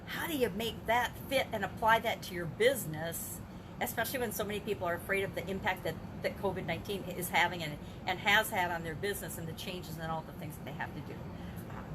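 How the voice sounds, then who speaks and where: Chatty grandmother vlogging over background noise. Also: medium pitch. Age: older. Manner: chatty, self-reflective, frequent restarts and rhetorical questions. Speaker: business coach and vlogger. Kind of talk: casual video journal.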